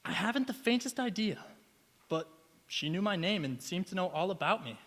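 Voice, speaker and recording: A man's voice: sits high, animated, moderate pace, fairly bright tone, exaggerated voice. A man acting an earnest, flustered young student in a stage radio play, polite and a little stammering. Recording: echoey hall with long reverb.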